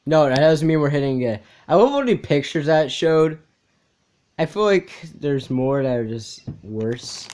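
A man's voice: a little high, animated, unhurried, darkish tone. A young man hosting a reaction video with casual, off-the-cuff commentary. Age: young adult.

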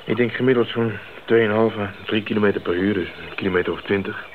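Casting: young missionary man played by an actor, lowish voice, thoughtful, dry humour, radio play, noisy recording. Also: steady tone, fast talker, narrow, tinny audio, lightly acted. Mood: wry, weary. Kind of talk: 1980s radio drama acting.

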